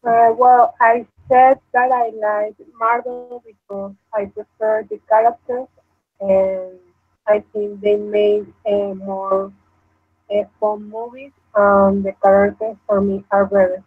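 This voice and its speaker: Husky young woman on tinny call audio. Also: mid-range voice, level tone, takes it slowly, no room sound. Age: 20s. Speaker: student participant. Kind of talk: casual group discussion. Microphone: video call.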